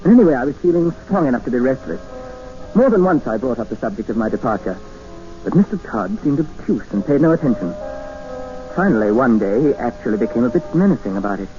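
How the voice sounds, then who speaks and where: An actor playing an educated, polite but increasingly desperate captive in a 1950s radio drama. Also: male voice, medium pitch, sing-song, fast talker, warm tone, lightly acted. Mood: measured narrating. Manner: polite, formal, earnest. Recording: dull vintage radio recording with music.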